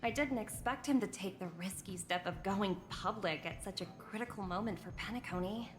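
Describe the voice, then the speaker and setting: A woman's voice: mid-range voice, brisk, close to an everyday voice. Businesslike woman financier over game music an actress. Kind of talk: voiced video game dialogue. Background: background music.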